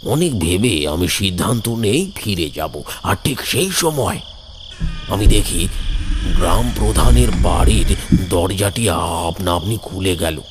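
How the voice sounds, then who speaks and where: A man narrating a first-person horror story, somber and measured. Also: sits low, animated, background noise. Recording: background music.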